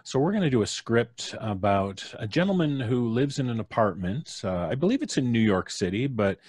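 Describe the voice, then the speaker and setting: A man's voice: mid-range voice, sing-song, normal speed, darkish tone, husky, dry recording. A man with a North American accent hosting a casual live stream, jovial and playfully flowery in his introductions. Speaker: host and showrunner of a storytelling podcast. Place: at home, on a live video stream.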